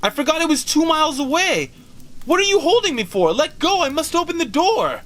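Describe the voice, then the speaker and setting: A man's voice: big pitch swings, a little fast, fairly bright tone, full character voice. A man voicing a frantic, grief-maddened old woman in a storybook, strained and urgently pleading. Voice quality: shrill, strained cry. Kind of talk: dramatic storybook read-aloud.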